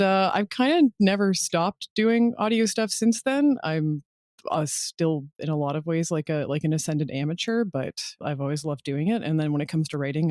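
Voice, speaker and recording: A woman's voice: fairly low pitch, darkish tone, dry recording, studio quality. A woman chatting casually as an interview guest about her own creative work. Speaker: podcast guest, audio drama writer and director.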